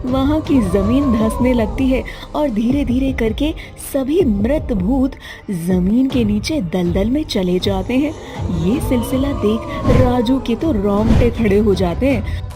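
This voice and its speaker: A woman reading a scripted children's story as its narrator. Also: a little high.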